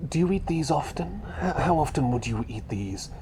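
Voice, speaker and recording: A man voicing a fussy, chatty little bird who is really a doctor, rambling and repeating himself politely in a hushed, breathy ASMR roleplay. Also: on the high side, lively intonation, normal speed, slight background noise, close to an everyday voice. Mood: contented, chatty. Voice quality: breathy, half-whispered.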